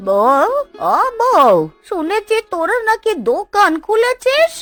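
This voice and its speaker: Woman playing a high-pitched grumbling old village aunt. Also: sing-song, a bit bright, soft background music, full character voice. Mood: inquisitive. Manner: scolding, folksy, drawn-out complaints. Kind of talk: Bengali cartoon dubbing.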